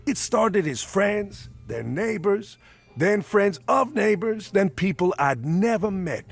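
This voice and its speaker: An earnest, chipper musician in a game. His pitch moves freely as he talks in a hesitant, folksy manner, with music underneath. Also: lively intonation, takes it slowly, vocal fry, full character voice. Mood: hopeful, earnest. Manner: hesitant, folksy, mild exclamations. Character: earnest, chipper male jazz musician and party organizer. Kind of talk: voiced dialogue in a narrative RPG.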